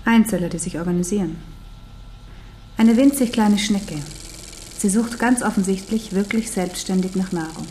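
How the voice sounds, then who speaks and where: An actress in a German radio drama playing a learned, patient non-human teacher who instructs precisely and firmly. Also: female voice, medium pitch, moderate pace, dark tone, slight background noise, close to an everyday voice. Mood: instructing.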